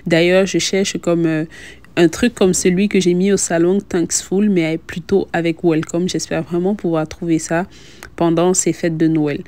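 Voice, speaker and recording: A young woman narrates a home decoration vlog in a casual, chatty way. Her voice sits a little low and has a very mellow, soft-edged tone. She speaks straight to her viewers as the host of her own channel. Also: little pitch movement, a little slow. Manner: chatty, addresses viewers directly. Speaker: lifestyle vlogger and host.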